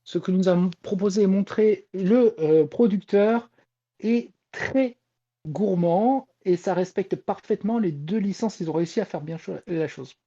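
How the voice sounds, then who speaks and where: Podcast panelist, male, soft-edged mellow tone, emphatic, muffled lo-fi audio. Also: high voice, a little slow. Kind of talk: casual chat. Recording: dull, lo-fi voice audio.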